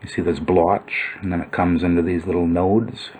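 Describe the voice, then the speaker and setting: A man with a low-pitched voice narrates a video in an informal, explanatory style. He muses as he goes, thinking aloud as he works through what he is examining. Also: flat delivery, moderate pace, darkish tone, breathy edge. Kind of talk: informal explanatory commentary over old photos.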